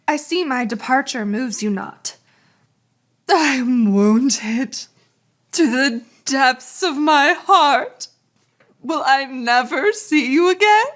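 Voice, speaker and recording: A woman's voice: sits high, lively intonation, a bit bright, full character voice. A woman playing a haughty, lovestruck noblewoman and army commander with a pompous, theatrical delivery in a radio play. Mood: wounded, haughty. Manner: pompous, grandly measured. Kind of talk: theatrical radio drama acting.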